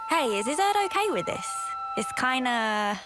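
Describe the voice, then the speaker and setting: A young female game fighter, blunt and easygoing. She talks in cheeky slang with a slightly high voice, over prominent music. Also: wildly swooping pitch, moderate pace. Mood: puzzled, skeptical. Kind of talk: video game cutscene acting.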